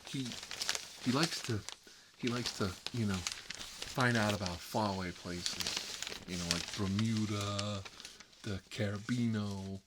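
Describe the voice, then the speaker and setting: A man playing a fussy, gossipy bachelor uncle in an improvised comedic ASMR roleplay, rambling and confiding in a prissy, halting way. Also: lowish voice, fairly even intonation, very noisy. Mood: chatty, wistful.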